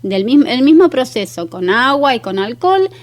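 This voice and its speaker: Higher-voiced Argentine woman explaining home gardening. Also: very animated, normal speed. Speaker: guest expert on aromatic plants and home gardening. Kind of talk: conversational explanation in a radio interview.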